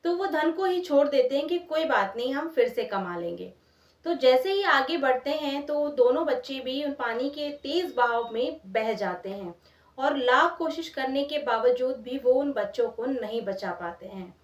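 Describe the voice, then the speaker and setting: A woman's voice: high-pitched, animated, moderate pace. A woman telling a moral story expressively in everyday, conversational words, repeating phrases for emphasis. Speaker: storyteller, channel host. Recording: roomy echo, distant from mic.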